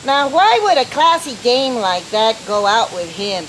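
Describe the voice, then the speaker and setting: A woman's voice: fairly high pitch, sing-song, measured pace, fairly bright tone, a little hiss or hum, rough recording. A woman playing an offhand, streetwise barmaid in a radio play, talking in slang. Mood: offhand, gossipy. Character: casual, streetwise woman working in a dive bar. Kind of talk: amateur radio play acting.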